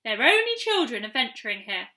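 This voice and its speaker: A woman's voice: fairly high pitch, big pitch swings, fairly quick, bright, forceful tone, heavily acted. A woman voicing a merry, obliging little male pixie in a storybook, speaking earnestly. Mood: insistent.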